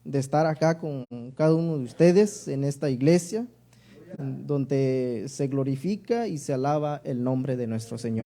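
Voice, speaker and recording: Male preacher, southern Mexican accent. High, mellow voice, deliberate delivery of sermon and scripture. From Oaxaca, Mexico. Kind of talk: sermon with Bible reading.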